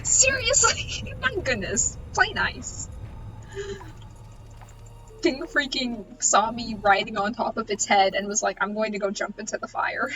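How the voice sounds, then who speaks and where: A woman's voice: lively intonation, a little slow, over music. A woman giving casual live gameplay commentary, playful and wry, with mock-menacing asides. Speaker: game streamer. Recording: noisy, game rumble behind. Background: video game sounds.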